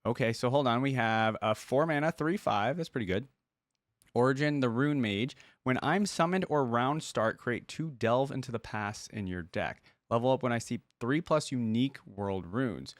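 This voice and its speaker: A man's voice: mid-range voice, normal speed, no room sound, studio quality. A man hosting a reaction video, talking informally and thinking aloud as he comments and reads bits off the screen. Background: music from the video being watched.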